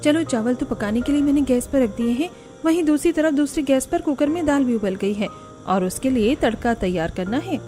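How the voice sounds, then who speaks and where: A woman's voice: high voice, animated, fairly quick, warm tone, faint music behind. A woman voicing an overworked young daughter-in-law in a Hindi family cartoon. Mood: thinking aloud. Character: young daughter-in-law, overworked, grumbling about kitchen chores.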